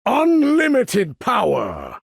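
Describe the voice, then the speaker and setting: An imperious older mutant supervillain from a video game. The voice is pitched a little high for a man, husky and heavy with gravel, in a close, dry recording. Every phrase is grandiose and deliberate, carrying menace and formal authority. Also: huge pitch swings, no room sound, full character voice. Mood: commanding. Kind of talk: theatrical video game character voice lines.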